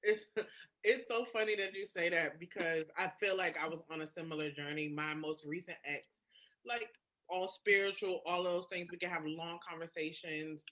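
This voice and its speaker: A woman talking candidly and casually about herself, expressive and emphatic, with frequent swearing. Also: fairly low pitch, normal speed. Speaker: podcast guest or co-host. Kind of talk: candid casual personal storytelling.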